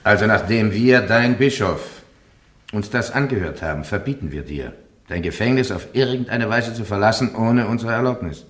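An actor playing a stern, formal bishop who presides over a trial in a solemn, measured, ceremonious way in a radio play. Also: male voice, lowish voice, lively intonation, a bit bright. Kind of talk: German radio play acting. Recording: echoey courtroom-like hall, radio drama.

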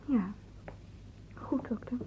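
A woman's voice: takes it slowly, mellow, close to an everyday voice, rough recording. A young woman playing a timid, polite student in a Dutch radio play, hesitant and deferential. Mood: timid, worried. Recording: faintly hissy old radio recording.

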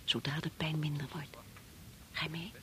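A woman's voice: sits low, fairly even intonation, moderate pace, pushed and bright. A Dutch actress from the Netherlands playing an anxious, tender young wife and mother in a radio play, with worried questioning. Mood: tender, soothing.

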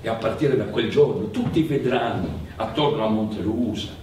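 A man narrating a theatrical monologue in emphatic, measured phrases. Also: fairly low pitch, a little mellow. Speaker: stage actor and storyteller. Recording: very echoey, distant, faint noise.

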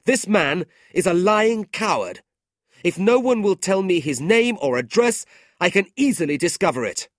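Man playing an indignant young gentleman, raised pitch. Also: sing-song, a little slow, few pauses, slightly rough, no echo, clean studio sound. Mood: righteous anger. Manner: earnest, emphatic, challenging. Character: indignant, honourable young gentleman defending his sister. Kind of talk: audiobook character voice.

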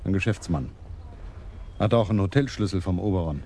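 An actor playing a plain-spoken patrol constable giving reports in a radio crime drama. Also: male voice, sits low, fairly quick, warm tone. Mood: matter-of-fact. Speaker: police constable. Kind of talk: 1980s radio drama acting.

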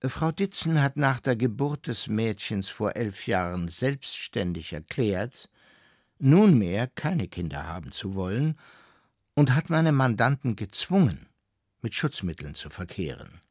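An actor playing a stern, officious divorce lawyer, reading out a formal legal letter in measured phrases. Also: male voice, fairly low pitch, sing-song, no echo, studio quality. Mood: accusing.

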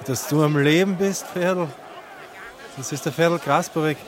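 An actor playing an anxious, burdened travelling companion in a wartime radio play. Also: male voice, on the high side, unhurried, a crowd in the background, natural voice. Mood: moved. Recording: noisy outdoor scene with clicks.

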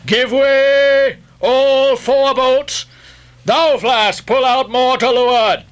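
A man voicing a fierce, commanding whaling ship captain who shouts orders, in an audiobook performance. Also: extremely high pitch, fairly even intonation, drawn-out words, clean tone, voice pushed up, exaggerated voice. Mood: shouting orders. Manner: shouting orders across a deck. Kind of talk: audiobook character acting.

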